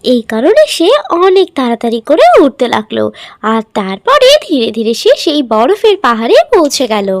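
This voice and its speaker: A woman's voice: high-pitched, big pitch swings, normal speed, dry recording, studio quality. A woman narrating a scripted children's story.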